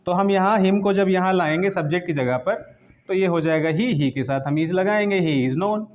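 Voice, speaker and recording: A man with a fairly high voice and an Indian accent speaks as a grammar teacher. He explains step by step, repeats key phrases and poses short questions before answering them. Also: normal speed. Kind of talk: classroom lesson, explaining step by step in Hindi mixed with English terms.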